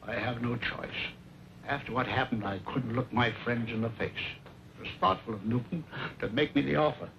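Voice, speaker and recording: A man's voice: medium pitch, normal speed, vocal fry, close to an everyday voice. A man acting as a proud, stubborn older rancher in an old Western drama, speaking earnestly and emphatically. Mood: resigned. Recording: dull, noisy old radio recording.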